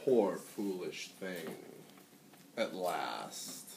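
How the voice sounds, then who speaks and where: A man reading a storybook aloud to children in a dramatic, theatrical and deliberate way. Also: deep voice, big pitch swings, vocal fry, darkish tone. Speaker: story reader. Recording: echoey, noisy room, distant mic.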